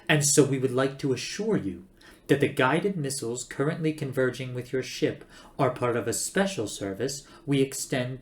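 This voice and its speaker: A man acts out an ancient recorded announcer in a sci-fi storybook. The voice is old, hollow and reedy, speaking slowly with courteous formality and quiet menace. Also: medium pitch, close to an everyday voice. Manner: slow, formal, coldly polite.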